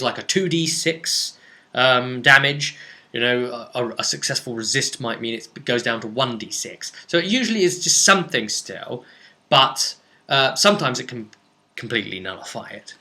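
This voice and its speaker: Forceful, bright-voiced man explaining game rules. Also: medium pitch. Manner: chatty, emphatic, thinking aloud, checks in with "okay?". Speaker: tabletop game explainer answering a subscriber. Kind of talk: casual unscripted rules explanation.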